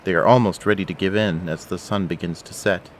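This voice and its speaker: A man's voice: low voice, fairly even intonation, brisk. A man giving scripted narration for an audio drama, telling the story as its narrator. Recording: faint background noise.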